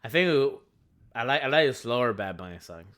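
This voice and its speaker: A young man from Venezuela co-hosting a podcast, chatting casually and reflectively with frequent pauses and restarts. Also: medium pitch, expressive, fairly bright tone. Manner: hesitant, restarts often, reflective. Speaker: podcast co-host. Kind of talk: casual chat.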